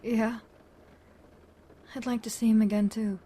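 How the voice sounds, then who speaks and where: A woman's voice: on the high side, flat delivery, measured pace, dark tone, a little hiss or hum, close to an everyday voice. A woman playing a wry, teasing, tough-talking woman in a fan audio drama, casual and blunt. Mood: wry, reminiscing.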